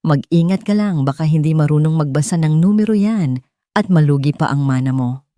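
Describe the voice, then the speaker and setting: A woman's voice: low-pitched, a little fast, mellow, no room sound, studio quality, close to an everyday voice. A woman voicing a smug, falsely kind rich businessman in a story, condescending and mock-friendly.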